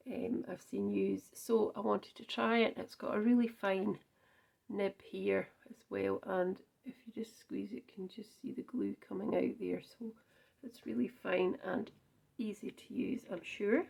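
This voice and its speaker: A woman's voice: medium pitch, fairly even intonation, measured pace, vocal fry, darkish tone, husky. A Scottish woman chatting through a card-making demonstration, thinking aloud with frequent ums and small self-corrections. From Scotland. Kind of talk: casual crafting demonstration.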